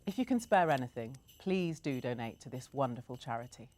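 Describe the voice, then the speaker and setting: Woman reading a kids story aloud, low voice but swoops around a lot, expressive. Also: brisk. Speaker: reader.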